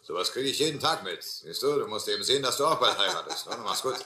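Very bright-voiced brisk policeman. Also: fairly low pitch, animated, roomy sound, soft background music. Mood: brisk, fatherly. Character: brisk, fatherly male small-town policeman. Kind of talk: German radio drama acting.

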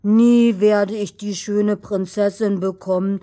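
A woman's voice: medium pitch, steady tone, slow, warm tone, pitched below the performer's own voice, close to an everyday voice. A woman voicing a poor, simple, good-natured young lad in a fairy tale, naive and wistful. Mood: forlorn.

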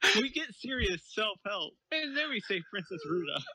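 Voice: mid-range voice, big pitch swings, moderate pace, bright, forceful tone, slightly rough, no echo. A co-host giving casual, playfully teasing comic commentary on a story being read aloud. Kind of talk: casual comedic banter.

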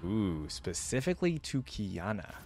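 A man's voice: on the low side, big pitch swings, unhurried, noisy background. A man, giving casual, slangy reaction commentary into a close desk microphone. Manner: laid-back slangy remarks. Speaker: reaction video host. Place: small indoor room at a computer.

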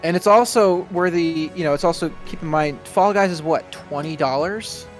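Guy w/ kinda high expressive voice chatting on a game stream, bit of music behind. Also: moderate pace. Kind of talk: casual co-commentary on a video game stream.

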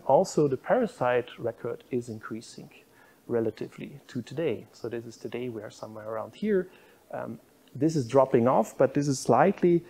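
A man's voice: medium pitch, dark tone, slightly rough. A middle-aged man giving a university public lecture in a lecture room, explaining slides with frequent fillers. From Belgium.

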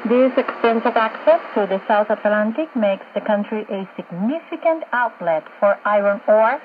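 A woman's voice: mid-range voice, expressive, normal speed. A woman working as a radio journalist, giving formal, explanatory scripted commentary over shortwave. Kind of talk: scripted informative radio discussion. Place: radio studio, heard over a shortwave receiver. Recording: tinny shortwave radio with faint static.

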